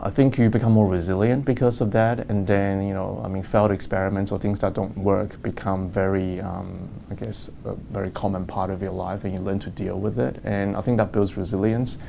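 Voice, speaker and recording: A man's voice: low-pitched, vocal fry, warm tone, slight background noise. A man who runs a lab, reflecting in a hesitant way full of fillers and "you know". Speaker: lab head scientist. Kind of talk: interview answers, reflective.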